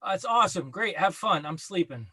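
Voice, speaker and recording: A man's voice: high voice, lively intonation, a little fast, bright, forceful tone, husky, exaggerated voice. A man playing a grumpy, bossy best friend who gives blunt advice in a sitcom table read. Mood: dismissive. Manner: blunt, exasperated, emphatic. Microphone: video call.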